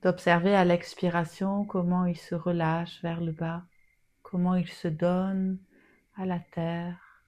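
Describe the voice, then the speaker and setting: Slow, low-pitched woman guiding relaxation. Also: level tone. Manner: soothing, soft and breathy at times, long pauses. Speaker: yoga nidra guide. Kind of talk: guided relaxation meditation.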